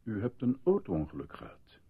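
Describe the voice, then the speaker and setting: Reassuring doctor, very lively intonation. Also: male voice, lowish voice, husky, phone-quality sound, full character voice. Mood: soothing. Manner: measured, formal, soothing. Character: calm, reassuring male military hospital doctor. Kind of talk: radio drama acting.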